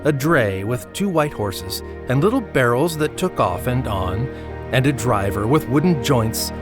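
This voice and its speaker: A man narrating a Christmas story in an audio drama, with measured, expressive literary delivery. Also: medium pitch, lightly acted. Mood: measured wonder. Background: music under the voice.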